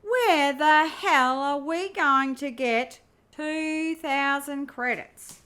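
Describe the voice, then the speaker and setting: A woman's voice: sits high, very slow, pushed and bright, exaggerated voice. A woman playing a grumbling, cigar-smoking co-pilot in her fifties in an amateur audio drama, exasperated and swearing. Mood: exasperated, angry.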